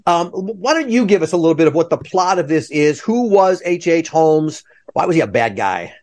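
A man's voice: a little high, very animated, a little fast, a little mellow. A man hosting a podcast interview with enthusiasm and joking asides. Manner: jokey asides. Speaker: podcast host.

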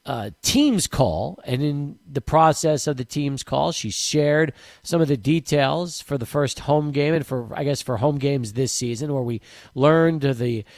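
A man's voice: medium pitch, a little slow, a little mellow, no room sound, studio quality. A man hosting a sports radio show, talking in a loose, rambling way with many restarts and repeated words. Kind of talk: casual radio interview.

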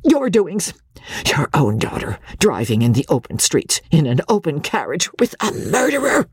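A woman reads as a frantic middle-aged mother, her voice high and quavering, pitched far above the reader's own, rising and falling wildly. It is recorded close and dry, with a husky edge. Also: big pitch swings, moderate pace, no room sound, heavily acted. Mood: shrill.

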